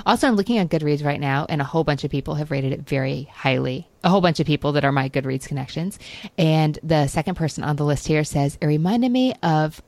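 A woman's voice: low-pitched, warm tone, no echo. A woman hosting a podcast, chatting casually and reacting with enthusiasm. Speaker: podcast host. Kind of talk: casual chat.

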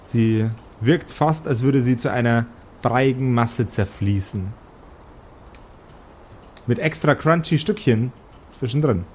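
A man narrating an improvised tabletop game as game master, deliberately and with pauses between phrases. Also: medium pitch, slight background noise.

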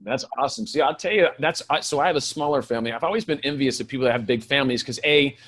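A man's voice: medium pitch, fairly bright tone. A man hosting a marketing podcast, chatting loosely and enthusiastically with his guest. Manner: fast, loose, enthusiastic with fillers. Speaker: podcast host. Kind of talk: casual interview chat.

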